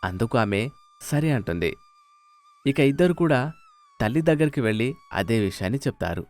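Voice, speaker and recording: A man's voice: mid-range voice, very animated, moderate pace, a little mellow. A man narrating a scripted moral story as a storyteller, with music behind him. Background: faint music.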